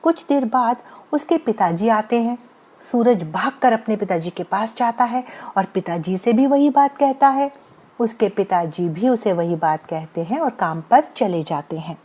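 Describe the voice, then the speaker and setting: A woman's voice: on the high side, lively intonation, a little slow, mellow. A woman narrating a scripted bedtime moral story as the storyteller.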